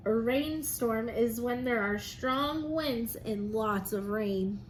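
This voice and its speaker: A woman's voice: a little high, takes it slowly. A woman teacher reading aloud and explaining science to young children in simple, encouraging phrases. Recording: noticeable background noise.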